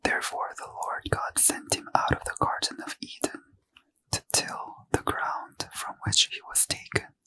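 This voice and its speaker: Slow whispering man, close and dry. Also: no echo, poor audio. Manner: slow soft whisper, unvoiced throughout. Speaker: reader. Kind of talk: whispered ASMR Bible reading. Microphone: close mic.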